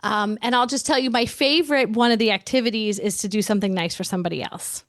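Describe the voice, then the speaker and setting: A woman's voice: medium pitch, quick pace, dry recording, studio quality. A woman in her forties talking candidly as an interview guest, emphatic and chatty, often saying "like" and restarting her sentences. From United States.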